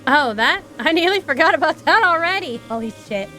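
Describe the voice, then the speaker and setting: Bright, high-voiced woman streaming a game. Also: expressive, a little fast. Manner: casual, playful asides. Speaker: streamer, VTuber. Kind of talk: live game commentary mixed with reading dialogue aloud. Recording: background game music.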